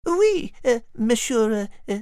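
A man's voice: very high-pitched, big pitch swings, unhurried, darkish tone, smooth, no echo, clean studio sound, pitched above the performer's own voice, exaggerated voice. A man voicing a petite, affectionate female cat with a French accent, politely hesitant. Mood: coy.

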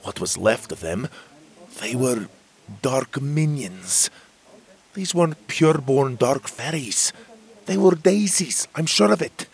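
A man doing a grumpy chubby butterfairy lord, gruff, scolding, raised pitch, husky edge. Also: expressive, slow pace, full character voice. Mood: grave. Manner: gruff, scolding, old-fashioned wording. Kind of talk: audiobook character voice.

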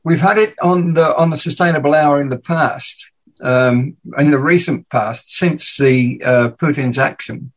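A man with an English accent mixed with Danish hosts a relaxed conversation. His voice sits fairly high, and he rambles a little as he works through his thoughts. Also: unhurried. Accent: English with Danish mix. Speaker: podcast host. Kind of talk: casual chat.

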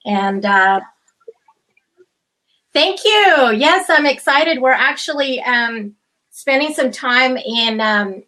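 A woman's voice: high-pitched, lively intonation, bright, forceful tone, rough recording. A woman co-hosting a livestream, enthusiastic and chatty, stretching her fillers as she talks. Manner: enthusiastic, laughing, drawn-out fillers. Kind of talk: casual livestream chat.

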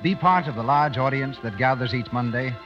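A man's voice: medium pitch, fairly quick, music in the background. 1930s radio announcer, male, echoing hall. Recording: muffled 1930s radio recording, hall reverb.

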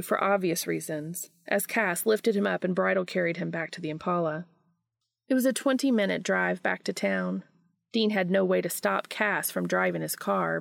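A woman hosting a podcast and reading fan fiction aloud in an expressive audiobook style. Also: on the low side, creaky, no room sound, studio quality.

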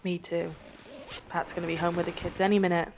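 A woman playing a casual, down-to-earth friend with a husky voice in a radio play. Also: on the low side, level tone, fairly quick, slight background noise, lightly acted.

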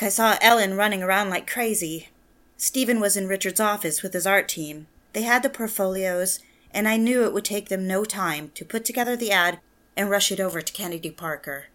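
A woman's voice: mid-range voice, normal speed, a bit bright, natural voice. A woman playing a hurt, defiant young personal secretary in an audio drama, earnest and emotional, also narrating her own story. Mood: reflective.

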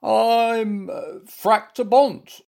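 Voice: medium pitch, extremely slow, creaky, a little mellow, voice pushed up. A storyteller voicing a sulky little toy bear in a children's story, muddling words as it whines and groans. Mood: indignant. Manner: pretends illness, groaning spoonerisms.

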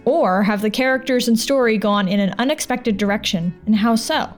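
A woman's voice: a little high, normal speed. A woman hosting a podcast, talking in a chatty, informal and reassuring way. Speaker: podcast host and audio drama producer. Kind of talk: casual podcast talk over music. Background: plucked guitar music.

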